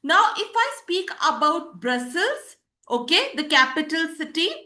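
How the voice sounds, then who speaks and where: High bright Indian teacher woman. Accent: Indian English. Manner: repeats points, frequent "okay" and "students" checks. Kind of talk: live online class lecture. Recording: echoey room.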